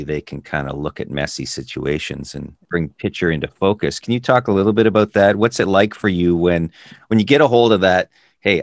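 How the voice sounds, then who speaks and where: A man hosting a podcast, asking measured questions and stumbling a little as he starts. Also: low voice, dry recording, studio quality. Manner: measured, stumbles over words when starting. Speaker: podcast host. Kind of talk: interview questions.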